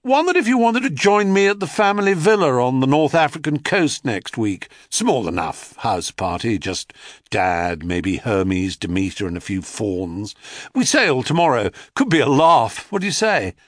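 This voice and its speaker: A man's voice: mid-range voice, expressive, moderate pace, no room sound, clean studio sound, lightly acted. A man voicing a condescending rich schoolboy who drawls smugly. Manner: drawling, smug.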